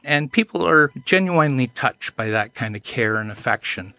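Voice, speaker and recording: A man's voice: mid-range voice, lively intonation, normal speed, sharp tone, no echo. A man, a podcast guest telling anecdotes in an earnest, self-deprecating way. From Canada. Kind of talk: casual chat, storytelling.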